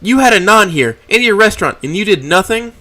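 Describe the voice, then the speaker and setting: Man doing a furious young dinosaur, profane, shouting, raised high voice, storybook reading. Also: expressive, fairly quick, no echo. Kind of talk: reading a fan story aloud.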